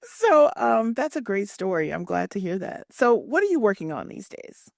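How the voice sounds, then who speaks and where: A woman hosting an interview, friendly and quick to laugh. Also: mid-range voice, sing-song, gravelly, darkish tone, no echo, studio quality. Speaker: interview host. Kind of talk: interview conversation.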